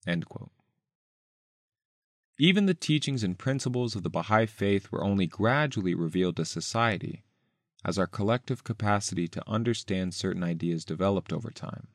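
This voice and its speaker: Male article reader, fairly deep, careful scripted delivery, dry studio-style sound. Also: expressive, moderate pace, dry recording. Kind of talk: scripted narration, reading an essay aloud.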